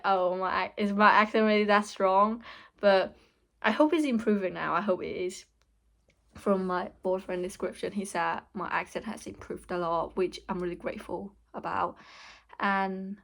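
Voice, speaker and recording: A woman's voice: medium pitch, steady tone, normal speed, gravelly. A young woman from Hong Kong with a Hong Kong English accent, Cantonese her first language, talking candidly and hesitantly in a casual vlog over a weak mic. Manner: candid, hesitant, many restarts. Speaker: vlogger. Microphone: weak mic, words hard to catch.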